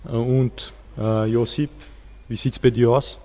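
A man's voice: medium pitch, flat delivery, unhurried, warm tone, big-room sound. A teenage boy, speaking plainly and hesitantly. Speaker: student.

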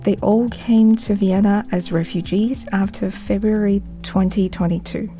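A woman's voice: mid-range voice, steady tone, measured pace, dark tone, soft background music. A woman who coordinates a youth project, reading a prepared introduction for a radio program. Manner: reads a prepared script.

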